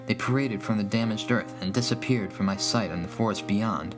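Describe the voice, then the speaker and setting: A man's voice: on the low side, fairly quick, over music. A man reading a scripted first-person horror story as its narrator.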